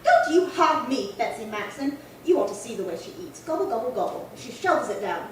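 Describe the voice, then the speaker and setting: A woman acts a resentful spinster of nearly eighty in a radio crime play. The voice is on the high side, querulous and old-fashioned in its phrasing. It sounds distant, in a very echoey space. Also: sing-song, brisk. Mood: indignant. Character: resentful, defiant spinster sister aged seventy-nine. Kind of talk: amateur re-creation of 1950s radio crime drama. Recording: roomy echo, distant mic, faint hiss.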